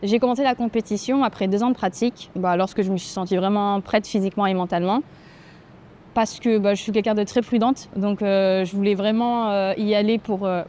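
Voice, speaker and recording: A young woman from French Guiana speaks in a mellow, warm tone at a middle pitch. She answers interview questions thoughtfully, hesitating often and filling pauses as she gathers her words. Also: a little hiss or hum. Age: 20s. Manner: thoughtful, hesitant, frequent fillers. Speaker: grappling athlete interviewed. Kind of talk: documentary interview.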